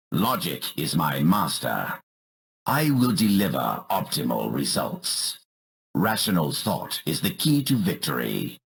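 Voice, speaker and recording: Logic-obsessed robot soldier for a game, low and gravelly, clipped, clinical, slight noise behind. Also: male voice, fairly bright tone, full character voice. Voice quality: deep, gravelly. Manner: clipped, clinical, self-assured. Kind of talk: video game character barks.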